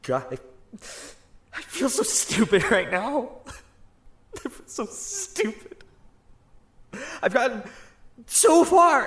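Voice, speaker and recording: A man's voice: very high voice, fairly bright tone, echoey room, exaggerated voice. An actor playing a young man falling apart alone, stammering, swearing and near tears. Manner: halting, stammering, swearing, voice cracking.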